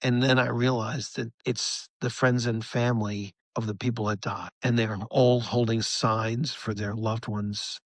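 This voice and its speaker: A middle-aged man with a mid-pitched voice talks casually as an interview guest. He is enthusiastic and rambles, breaking off and restarting as he tells things. The sound is dry, with very little room in it. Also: flat delivery, measured pace, hardly any pauses, dry recording. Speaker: rock musician, podcast guest.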